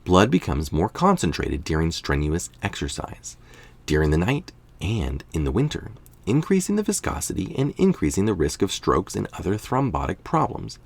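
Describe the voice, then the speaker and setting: Very deep-voiced man reading aloud, gravelly. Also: wildly swooping pitch, moderate pace. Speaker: reader of health articles. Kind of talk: reading a scientific article aloud, with casual asides.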